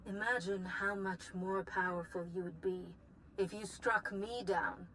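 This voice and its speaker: A woman's voice: mid-range voice, fairly even intonation, unhurried, pushed and bright, slight background noise, poor audio. An actress playing a sinister, taunting female villain in a video game cutscene.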